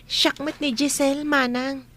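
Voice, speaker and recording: A young, naive new maid. Her voice is high and polite, with an innocent questioning manner and an Ilocano accent, in radio comedy style. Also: level tone, unhurried, sharp tone, slight background noise. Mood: shy, curious.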